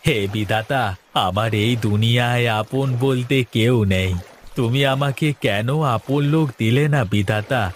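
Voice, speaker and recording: A man's voice: mid-range voice, unhurried, a little hiss or hum, close to an everyday voice. A man playing a lonely, superstitious poor boatman in a melodramatic, exclamatory cartoon style, often repeating himself. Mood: anguished lament.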